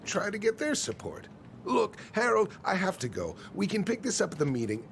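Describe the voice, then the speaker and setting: An actor playing an evasive, folksy middle-aged small-town man in a naturalistic video game drama, with a creaky voice. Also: high voice, wildly swooping pitch, moderate pace, a little hiss or hum, full character voice. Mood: harried, businesslike.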